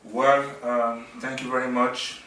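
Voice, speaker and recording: A middle-aged man from Nigeria, answering interview questions in a halting way with many fillers and restarts. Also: mid-range voice, level tone, pushed and bright. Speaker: interviewee, businessman. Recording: echoey room, mic at a distance.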